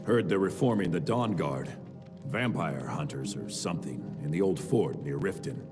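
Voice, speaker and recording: An actor playing a dutiful, confident legion soldier in a fantasy game, speaking with formal assurance. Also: male voice, a bass voice, expressive, moderate pace. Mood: assured. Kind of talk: video game character dialogue. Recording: distant, long hall reverb, music behind.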